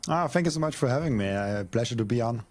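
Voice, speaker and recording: A man's voice: medium pitch, lively intonation, quick pace, darkish tone. A young man from Austria, talking earnestly as a podcast guest and often repeating words as he thinks. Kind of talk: casual interview chat.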